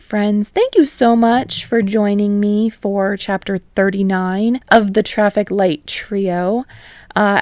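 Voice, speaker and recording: A woman narrates a story at a slow pace. Her voice has a noticeable creak at a middle pitch. The recording is close and dry. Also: a little mellow, dry recording. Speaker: podfic narrator and channel host.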